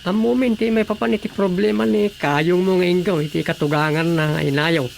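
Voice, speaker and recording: A woman playing a bemused village councilor with an Ilocano accent in a comic radio drama, grunting and halting. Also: lowish voice, fairly even intonation, gravelly, a little mellow, slight background noise.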